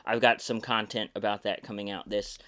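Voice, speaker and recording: A man's voice: lowish voice, level tone, brisk, fairly bright tone. Hesitant man telling backstage stories. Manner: hesitant, many ums and restarts. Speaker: podcast host, puppeteer. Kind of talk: casual behind-the-scenes storytelling.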